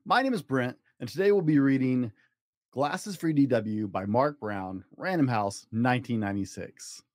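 A man hosting a podcast and reading a children's picture book aloud in an expressive, earnest way for young listeners. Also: mid-range voice, moderate pace, no echo, clean studio sound. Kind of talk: scripted storybook narration.

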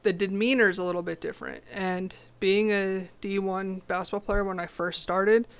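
A young woman with a low voice, talking as a podcast guest in a direct, confident, casual way. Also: moderate pace, clean tone. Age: young adult. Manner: confident, direct, animated storytelling. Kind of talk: casual interview chat.

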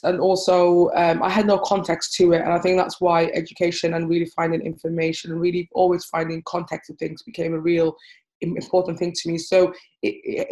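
A woman's voice: fairly low pitch, a little mellow, rough recording. A woman in her thirties with a British accent, talking candidly as an interview guest in informal, looping sentences. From born in Somaliland, grew up in Cardiff and Manchester, UK. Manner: talks in informal, looping sentences with frequent 'like, you know'. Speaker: podcast guest, activist.

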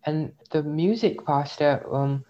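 A man's voice: a little high, takes it slowly, mellow. A young man from India, raised in the UAE, speaks as an interview guest. He tells his story in a thoughtful, reflective way. The recording sounds slightly dull and lo-fi. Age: young adult. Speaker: interview guest, graduate student. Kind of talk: personal testimony in conversation.

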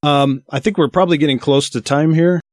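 A man's voice: fairly high pitch, steady tone, brisk, no echo, clean studio sound, soft background music. A man with an American accent hosting a casual panel discussion on a podcast. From United States. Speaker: podcast host.

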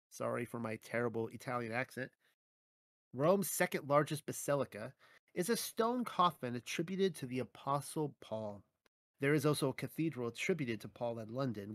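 A man's voice: mid-range voice, no echo. Dry, wry man reading his article. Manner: dry, wry asides between scripture quotations. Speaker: religious teacher reading his own articles. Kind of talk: reading and commenting on a written article.

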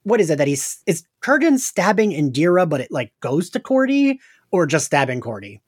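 A man's voice: on the high side, big pitch swings, normal speed, slightly rough. A man casually recapping an episode on a podcast, giggly and a bit meandering as he talks. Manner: giggly, prone to laughing; meandering, hesitant. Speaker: podcast host.

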